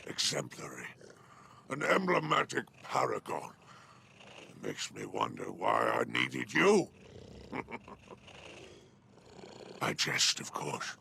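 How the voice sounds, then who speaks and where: A possessive alien crime lord in a video game, purring with menace. The growl is deeply creaky and drawn out very slowly. It swoops theatrically from silky condescension to threat. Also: male voice, sharp tone, a little hiss or hum, full character voice. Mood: mocking, sly. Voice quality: gravelly, growling. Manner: theatrical, slow, silkily condescending. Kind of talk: dramatic video game cutscene acting.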